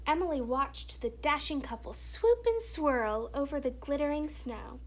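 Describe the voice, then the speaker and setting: A woman reading a children's story aloud in an expressive, animated storytelling manner with hushed, dramatic phrasing. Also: high voice, a little slow. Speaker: teacher or reader reading a children's picture book aloud.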